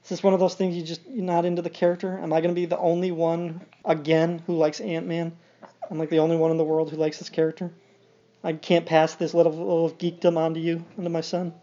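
A man's voice: a little high, monotone, quick pace, dark tone. A middle-aged man reads a picture book aloud to a young child, with wry, informal, conversational asides. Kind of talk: storybook read-aloud with casual asides. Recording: dull, muffled audio with background noise.

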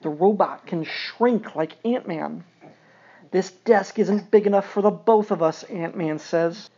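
A man's voice: high-pitched, measured pace, mellow. A middle-aged man reads a picture book aloud to a young child, with wry, informal, conversational asides. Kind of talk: storybook read-aloud with casual asides. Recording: dull, muffled audio with background noise.

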